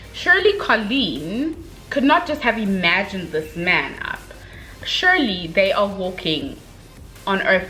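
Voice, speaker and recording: A woman giving a casual book review in an expressive, slangy way, talking to viewers like girlfriends with emphatic check-ins. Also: mid-range voice, rough recording.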